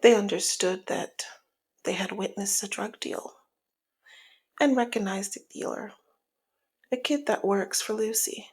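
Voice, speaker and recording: A woman playing a teasing, dominant mob boss in an ASMR roleplay, with a breathy, husky voice that often slips into a whisper and a slyly menacing, sultry manner. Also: medium pitch, a little slow, pauses often, a little mellow. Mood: composed, matter-of-fact. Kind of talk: ASMR roleplay audio drama.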